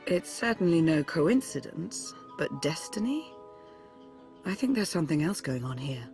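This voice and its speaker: An actress playing a measured, analytical advisor in a video game, composed and formal. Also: female voice, low voice, very animated, a little mellow, faint music behind. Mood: wary, composed.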